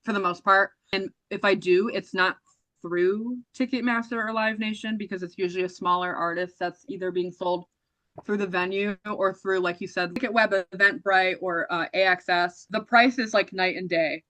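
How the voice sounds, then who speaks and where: A woman co-hosting a podcast in casual chat, often chiming in with quick yeahs. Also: mid-range voice, steady tone, pushed and bright, no echo, rough recording. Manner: chatty, quick agreeing fillers. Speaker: podcast co-host.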